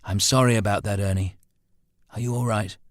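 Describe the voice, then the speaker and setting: A man reading the part of a gruff, blunt, hot-tempered but protective uncle in a narrated story. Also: fairly low pitch, steady tone, normal speed, no echo, clean studio sound, natural voice. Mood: gentle, apologetic. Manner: growling, blunt, threatening or gently protective.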